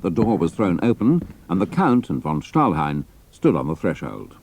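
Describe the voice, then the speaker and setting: A man's voice: low voice, very animated, moderate pace, noisy background. A man giving a dramatic scripted narration of an adventure story.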